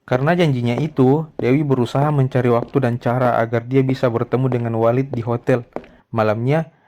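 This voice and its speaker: A man narrates a scripted story in a mellow, dark-toned voice. His pitch sits in the middle of the male range.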